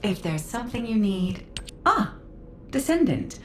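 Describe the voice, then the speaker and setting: An actress playing an apologetic, gentle non-human ally in a video game, with a measured, formal, anxious manner. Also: female voice, medium pitch, sing-song, noisy background. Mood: apologetic concern. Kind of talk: scripted game dialogue. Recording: roomy echo, faint ambience.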